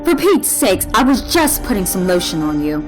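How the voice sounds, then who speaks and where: An actress playing a superhero's affectionate, teasing young girlfriend, a model, in an animated comic. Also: medium pitch, moderate pace, music in the background, close to an everyday voice.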